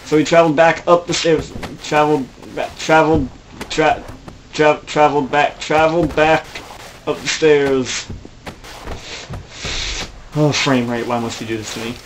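A man's voice: on the high side, fairly even intonation, measured pace, pauses often, background noise. A man who narrates his own comedy video over game footage, rambling and mocking himself, with a lot of fillers.

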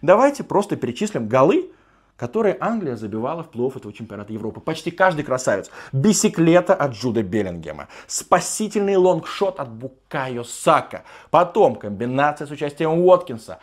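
A man in his thirties hosting a football show from a small room, his voice strained and partly hoarse, talking emphatically with mock-enthusiastic asides. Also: on the high side, sing-song, fairly quick. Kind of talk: animated football commentary monologue. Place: small room with sofa, indoors.

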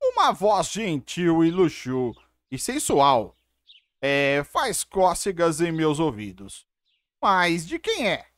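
A woman with a Brazilian accent giving casual, expressive gameplay commentary and reading dialogue aloud as host. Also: low-pitched, slow, dry recording, studio quality. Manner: expressive, informal.

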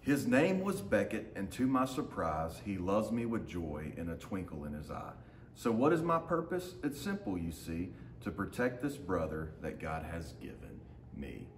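A man's voice: low-pitched, very animated, moderate pace. A man in his thirties reading a children's picture book aloud to students as their teacher, with short asides to his listeners. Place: large open indoor lounge with hard floor. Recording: roomy echo, faint background noise.

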